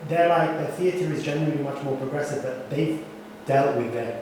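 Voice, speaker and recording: A man's voice: on the high side, brisk, a little hiss or hum. Chatty man in an echoey distant room. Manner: chatty and animated, many asides. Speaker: drag artist and TV writer. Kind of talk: casual conversation. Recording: very echoey room heard from far off.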